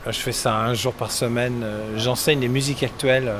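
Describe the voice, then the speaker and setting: A middle-aged man from England talks easily at a mid-pitched level, wandering through his answers with pauses and restarts. He is recorded outdoors, with clear traffic and street sounds behind him. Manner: relaxed, rambling, many hesitations and restarts. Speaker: musician guest. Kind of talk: casual interview answers. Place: outdoors at a summer concert event. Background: traffic and street ambience.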